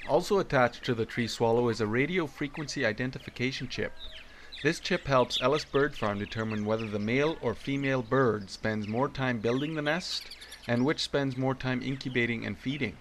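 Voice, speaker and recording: A man narrating a community news report in a steady announcing manner. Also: medium pitch, normal speed, a little hiss or hum.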